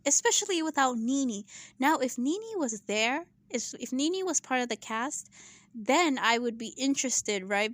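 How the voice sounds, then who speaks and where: Woman, casual vlog chat, slightly high voice, dry close sound, tiring voice. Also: fairly bright tone, no room sound. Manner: chatty, frequent "right?" and "like". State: losing her voice, clearing throat. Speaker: YouTube commentator.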